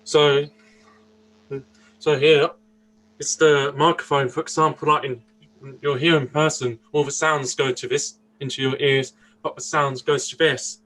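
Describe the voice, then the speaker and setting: A man's voice: fairly high pitch, level tone, a little slow, fairly bright tone, poor audio. A young man in his twenties from Austria, answering interview questions earnestly, often restarting and searching for words. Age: 20s. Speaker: interview guest. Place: indoors, seated interview.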